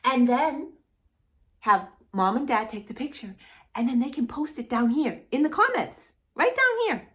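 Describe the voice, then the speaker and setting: Slow, high-voiced woman talking to young children. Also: very animated, fairly bright tone. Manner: sing-song, encouraging, asks and answers questions as if chatting with young listeners. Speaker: host of a children's storytime channel.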